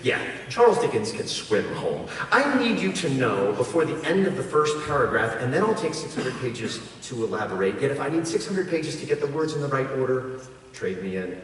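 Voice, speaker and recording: A man performing a spoken-word poem at a live reading, emphatic and dramatic with wry, deadpan comic touches. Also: medium pitch, moderate pace. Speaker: poet reading own work at a live event. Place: performing arts hall in front of an audience. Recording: echoey hall, distant mic, noisy room ambience.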